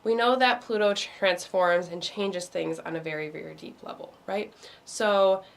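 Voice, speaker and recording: Woman, meditation host, casual astrology talk, hoarse voice, rambling with ums, video call. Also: on the low side, unhurried. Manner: meandering, many ums and restarts. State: losing her voice.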